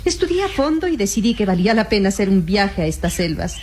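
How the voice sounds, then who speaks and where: A woman's voice: medium pitch, very animated, fast talker, darkish tone, close to an everyday voice. A young Latin American villainess voiced by a woman speaks in a mid-range voice with theatrical self-assurance. She is cunning and coolly ruthless, in the manner of a radio serial. Some noise sits on the old recording. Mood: matter-of-fact confession. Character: cunning young female impostor, coolly ruthless treasure seeker.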